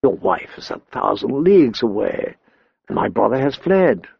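A proud, cold queen who taunts and schemes, as a male audiobook reader puts her on. The voice sits a touch high for a man, and the sound is dry and a bit dull, with no room around it. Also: lively intonation, a little slow, dry recording. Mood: seductive hush. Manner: scornful, taunting. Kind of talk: audiobook character voice.

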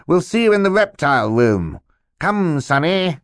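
A man voicing a kindly, brisk uncle in an audiobook, with a hearty manner. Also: fairly high pitch, very animated, no room sound, studio quality, pitched above the performer's own voice. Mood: brisk, cheerful.

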